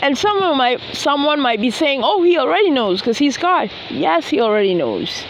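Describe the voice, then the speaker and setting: A young woman from the Bahamas talking earnestly and slowly about her faith to a phone camera held at arm's length in an open field. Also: high-pitched, very animated, a little hiss or hum, rough recording. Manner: earnest, unhurried, sincere faith talk. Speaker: gospel songwriter and vlogger. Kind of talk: casual talk to camera, then unaccompanied singing. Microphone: phone camera at arm's length with earphone mic.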